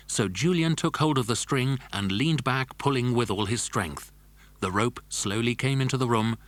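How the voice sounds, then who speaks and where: A man's voice: mid-range voice, fairly bright tone, no room sound. Male storyteller, close dry sound. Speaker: narrator. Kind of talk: scripted children's audiobook narration. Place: dry studio.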